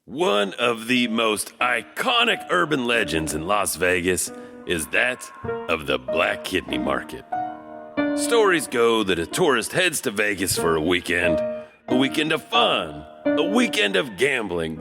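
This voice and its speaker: Male horror podcast host, theatrical and conversational, lively swooping delivery with gravel in it, music underneath. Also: lowish voice, a little slow. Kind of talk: spooky storytelling narration. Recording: long reverb, music underneath.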